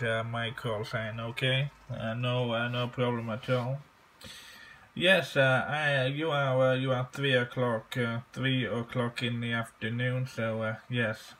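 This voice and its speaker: A man making an amateur radio contact, courteous and halting, often repeating his phrases. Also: medium pitch, fairly bright tone, a little hiss or hum. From England.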